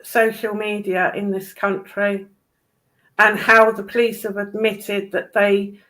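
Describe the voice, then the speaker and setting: Slow-paced woman, blunt and opinionated. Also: medium pitch, level tone, a bit bright, low-quality recording. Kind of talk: casual discussion.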